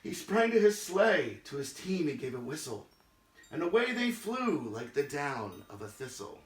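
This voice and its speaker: A man's voice: big pitch swings, moderate pace. A man playing a jolly Santa Claus who reads a Christmas storybook poem aloud in a theatrical, dramatic way. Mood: merry storytelling. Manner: theatrical, swelling into loud calls and dropping low. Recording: roomy echo, music behind.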